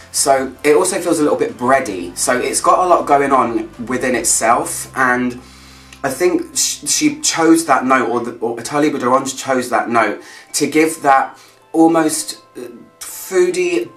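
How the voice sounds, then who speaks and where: A man's voice: medium pitch, fairly bright tone. A man giving a casual vlog-style review, chatty and descriptive, thinking aloud as he goes. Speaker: fragrance reviewer. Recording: echoey room, distant mic, faint music.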